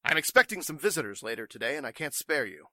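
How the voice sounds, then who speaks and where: A man's voice: medium pitch, sing-song, quick pace, bright, forceful tone, no room sound. A man playing a flustered, evasive warehouse owner in 1940s radio drama style, stammering and speaking with stuffy formality.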